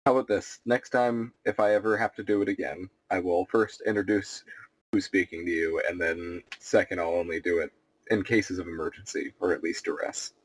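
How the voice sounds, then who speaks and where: Man, tabletop player, low pitch, calm, measured, polite. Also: husky, rough recording. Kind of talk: in-character tabletop roleplay.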